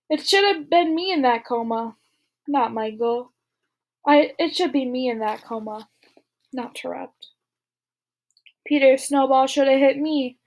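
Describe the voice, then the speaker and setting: Lady reading as a sulky scared schoolboy, guilty and bitter, high voice w some gravel. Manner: reads a boy's inner thoughts aloud, bitter and gloomy.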